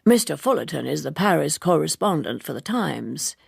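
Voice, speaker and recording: A woman reader plays a pedantic man of letters in an audiobook. He speaks slowly and deliberately, with ornate courtesy, as if dictating. The voice is low and a little gravelly. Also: very animated, no room sound, clean studio sound, full character voice. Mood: courteous, formal. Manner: slow, deliberate, ornately polite. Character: courteous, pedantic male novelist dictating to his typist.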